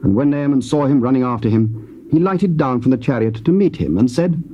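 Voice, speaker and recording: An English man reading Bible narration in a steady, formal way on a lo-fi tape. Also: mid-range voice, brisk, a little hiss or hum. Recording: dull lo-fi tape.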